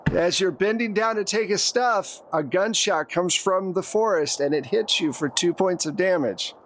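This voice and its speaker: A man with a fairly high voice narrates a tabletop game as game master. He is recorded with some background noise behind him. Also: normal speed.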